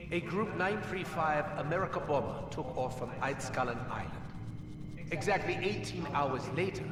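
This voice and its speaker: An actor playing a pompous, menacing Nazi scientist officer with a German accent and a grandiose, mock-polite delivery in a video game audio log. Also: male voice, on the high side, far from the mic, lightly acted. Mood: solemn reporting. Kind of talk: scripted dramatic audio log acting. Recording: echoey long-reverb hall, loud background noise.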